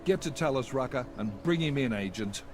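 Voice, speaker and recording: A man's voice: mid-range voice, animated, brisk, noisy background, lightly acted. An actor playing a stern male agency director who gives firm, curt orders. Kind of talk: video game dialogue.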